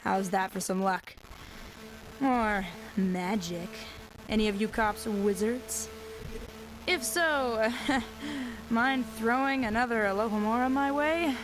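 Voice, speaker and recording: Wry, slow-talking apocalypse survivor. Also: female voice, on the high side, noisy background. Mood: joking, hopeful. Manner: wry, joking, rambling, conversational. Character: stranded, handcuffed young woman survivor, wry and rambling. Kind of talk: audio drama monologue sent as a radio broadcast.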